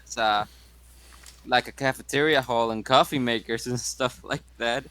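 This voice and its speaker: A man's voice: mid-range voice, steady tone, moderate pace, a bit bright, no room sound. A man talking casually as a player in a tabletop game. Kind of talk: casual chat, reading rules aloud.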